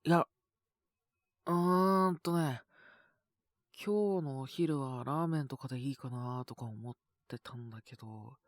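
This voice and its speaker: Sick man chatting softly, dry close recording. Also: medium pitch, measured pace, pauses often, no echo. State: sick with a sore, scratchy throat and cough. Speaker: voice actor making situation-voice videos. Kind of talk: intimate casual chat to an unheard partner.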